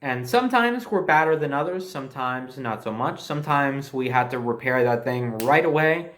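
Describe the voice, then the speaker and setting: A man's voice: medium pitch, lively intonation, normal speed, a bit bright. An American man telling a personal anecdote in a casual, conversational and emphatic way, as a storyteller. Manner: conversational, emphatic, mildly profane.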